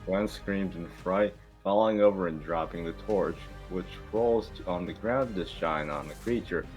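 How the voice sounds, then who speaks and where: A man's voice: low voice, moderate pace, darkish tone, soft background music, poor audio. A man hosting and narrating an amateur table read, informal and sometimes stumbling over the script. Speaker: host and narrator.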